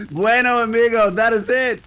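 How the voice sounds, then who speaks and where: A man's voice: high voice, pushed and bright, exaggerated voice. An actor playing a gloating Mexican outlaw henchman with a Mexican accent, in 1930s western radio style. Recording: clear background noise.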